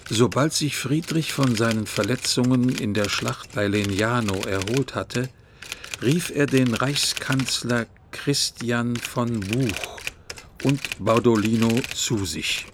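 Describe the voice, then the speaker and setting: A man's voice: medium pitch, slow, noisy background, lightly acted. A man giving scripted narration in a radio drama. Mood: neutral.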